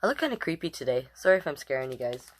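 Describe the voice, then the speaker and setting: A woman with a fairly low voice hosts a storytime for children. Her pitch swings widely as she chats with viewers and reads aloud. The delivery is casual, interactive and expressive. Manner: chatty, interactive, talks directly to viewers. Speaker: storytime host and reader. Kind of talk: casual livestream chat and children's picture-book reading.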